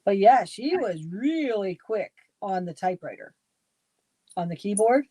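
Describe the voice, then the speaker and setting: Mellow easygoing woman telling stories. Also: mid-range voice, animated, a little slow, no echo. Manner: easygoing, tells little stories, soft trailing endings. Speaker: co-host of a crafting livestream. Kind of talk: casual chat.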